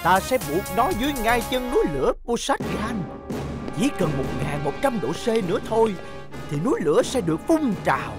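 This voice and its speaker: A man's voice: high voice, sing-song, fairly quick, over music. A man playing a scheming, hot-tempered older villain boss in a dubbed cartoon, grandiose and menacing as he scolds and commands. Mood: gloating.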